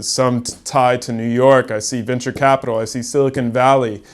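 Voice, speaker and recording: A man's voice: medium pitch, smooth. A man hosting an interview in an office, introducing his guest and asking earnest questions built from lists. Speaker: interviewer and ambassador.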